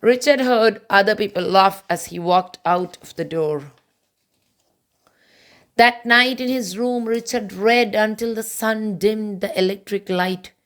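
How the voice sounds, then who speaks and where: A woman's voice: mid-range voice, measured pace, a bit bright. A woman reading a children's picture book aloud as a storybook narrator. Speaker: storybook reader.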